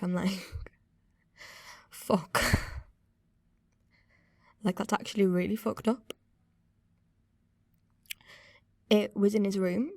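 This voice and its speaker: A young woman speaks in a naturalistic radio confessional. She is hesitant and uneasy but candid, with a medium pitch in a very dry close recording. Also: darkish tone, dry recording. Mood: awkward, uneasy. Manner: hesitant, halting, candid, swearing. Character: young woman uneasily recalling an abusive first relationship.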